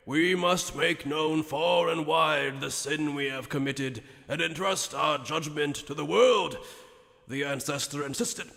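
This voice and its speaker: A man voicing an ancient, grave and knowing sage in an anime fan dub, with a gravelly voice and measured, theatrical delivery. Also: a little high, a bit bright. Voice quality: gravelly, creaky. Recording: long hall reverb on the voice.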